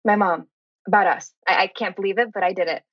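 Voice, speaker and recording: A woman's voice: mid-range voice, steady tone, fast talker, dry recording, highs cut off, natural voice. A woman acting an earnest, impulsive teenage girl at a teen drama table read. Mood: excited, hopeful.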